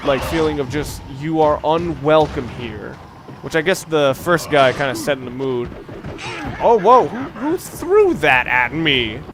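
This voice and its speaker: Man doing game commentary over clear noise. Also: on the high side, big pitch swings, unhurried. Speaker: let's play host. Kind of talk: casual unscripted video game commentary. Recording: clear background noise under the voice.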